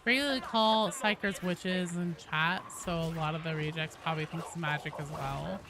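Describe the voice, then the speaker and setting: A woman livestreamer chatting to her viewers in a casual, rambling, animated way, with salty slang. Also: low voice, moderate pace. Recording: clearly noisy background.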